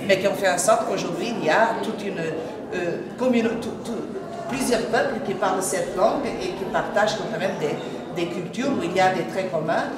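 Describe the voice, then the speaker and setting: A woman's voice: mid-range voice, normal speed. A woman from Portugal speaking as an author on a panel, hesitant and often restarting phrases. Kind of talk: panel discussion. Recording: roomy, distant mic, loud noise.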